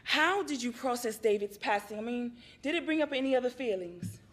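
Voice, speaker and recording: An actress playing a calm, encouraging female therapist who speaks in a measured, reassuring way in a stage play. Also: on the high side, lightly acted.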